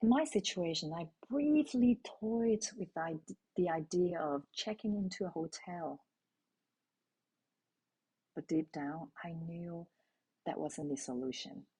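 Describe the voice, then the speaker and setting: A middle-aged woman from Hong Kong whose first language is Cantonese, speaking at an unhurried, deliberate pace. Her voice is low and dark, with a husky, gravelly edge. She tells a personal parenting story in a calm, intimate way and often turns to ask her listeners questions. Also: low-quality recording. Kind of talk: reflective spoken essay.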